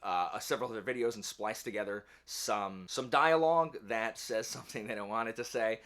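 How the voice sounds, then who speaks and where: A man's voice: on the low side, brisk, a bit bright. A man chatting casually to camera as a channel host, rambling along in a wry, self-deprecating way. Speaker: YouTube book channel host. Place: indoor home office.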